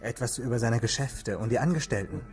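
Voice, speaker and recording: A man's voice: medium pitch, brisk, a little mellow, lightly acted. Actor as courteous reserved policeman, music behind. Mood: polite, guarded. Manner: courteous, reserved, brief replies. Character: polite, cautious male police investigator. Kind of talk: radio drama acting.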